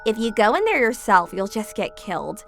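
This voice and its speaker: Woman as a shady underworld contact, hushed and fast, bouncy intonation. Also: mid-range voice, sing-song, vocal fry, soft background music.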